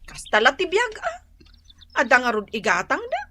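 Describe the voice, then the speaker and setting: A woman's voice: sits high, huge pitch swings, normal speed, sharp tone, a little hiss or hum, exaggerated voice. A woman playing a peevish, envious younger sister in an Ilocano Filipino radio comedy drama, with a whiny, sulky delivery. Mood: envious, scornful. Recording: music behind the voice.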